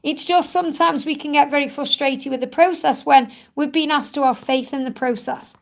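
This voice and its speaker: Croaky woman doing a live card reading, gravelly and high, calm and reassuring, says things twice. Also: normal speed. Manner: soothing and reassuring, repeats phrases often. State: croaky, tired voice from much talking. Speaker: tarot card reader and live-stream host.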